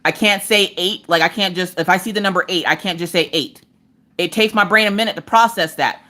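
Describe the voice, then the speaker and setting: A woman hosting a live crafting stream, chatting casually with viewers, talking fast and sassy, with an occasional stutter. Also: lowish voice, pushed and bright. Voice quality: stutters at times. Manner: talks fast to cover a stutter; sassy and playful, swears freely. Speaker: livestream host of a crafting channel. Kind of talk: casual live chat, reading viewer comments.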